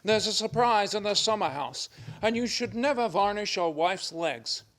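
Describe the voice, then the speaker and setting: A man's voice: sits high, lively intonation, normal speed, fairly bright tone. A man narrating a comic radio play from a script, as the storyteller between scenes.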